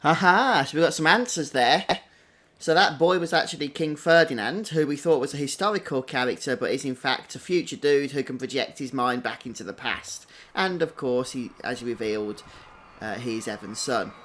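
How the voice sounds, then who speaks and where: A man's voice: medium pitch, moderate pace, fairly bright tone. A man giving upbeat, casual livestream commentary on a video game walkthrough. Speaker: game streamer.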